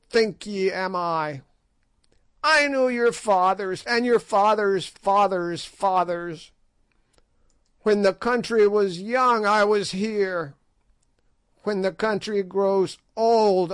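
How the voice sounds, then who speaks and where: Wicked ancient witch, voiced by a man: shrill, mocking, dry close sound. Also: a bit bright, smooth, dry recording, full character voice. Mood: defiant. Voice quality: shrill, cackling. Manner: screeching, mocking. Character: ancient shrieking female witch, wicked and gloating. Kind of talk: audiobook character voice.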